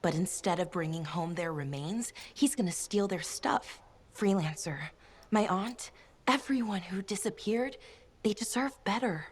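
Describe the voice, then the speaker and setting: An actress playing an impulsive, spirited young female pilot in a video game, talking brashly and quick to indignation. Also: medium pitch, lively intonation, breathy edge.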